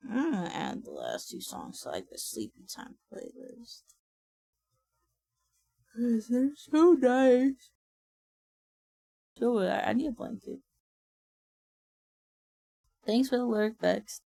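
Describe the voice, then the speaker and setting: Tired, slow-talking woman with a gravelly voice. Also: on the high side, sing-song, mellow, dry recording. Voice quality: raspy when sleepy. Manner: chatty, swears and laughs often. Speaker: streamer. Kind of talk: casual livestream chat.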